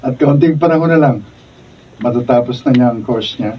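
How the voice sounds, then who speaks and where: Heard through a muffled, noisy recording, a man acts an earnest young Filipino suitor in a radio drama. His mid-pitched voice is sincere and devoted, coming from a little way off the microphone. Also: brisk, recorded from a distance, close to an everyday voice. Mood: resolute. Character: devoted, earnest young boyfriend planning marriage.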